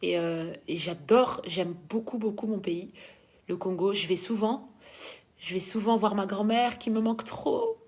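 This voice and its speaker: A woman's voice: mid-range voice, unhurried, darkish tone, breathy edge. A young woman from Belgium with a Belgian French accent, chatting casually as she reads out and answers viewers' questions. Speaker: dancer answering fan questions.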